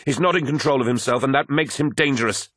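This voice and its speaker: A man's voice: mid-range voice, lively intonation, moderate pace, fairly bright tone, husky, dry recording, studio quality, voice pushed up. A man voicing a harried, defiant military surgeon in an audiobook, speaking urgently and snappishly. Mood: angry. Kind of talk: dramatised audiobook dialogue.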